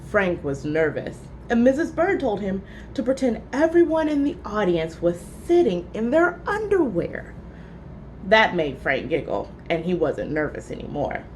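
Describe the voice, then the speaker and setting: A woman reads a children's story aloud with expressive, clear phrasing. Her pitch is mid-range, and some ambient noise sits behind her voice. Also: a little slow.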